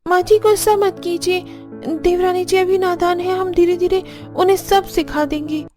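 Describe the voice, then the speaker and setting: High-pitched aggrieved young Indian housewife, acted by a woman. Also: fairly even intonation, moderate pace, over music. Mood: timid pleading. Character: young daughters-in-law and pampered daughter, aggrieved, pleading. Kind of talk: Hindi cartoon family drama dialogue.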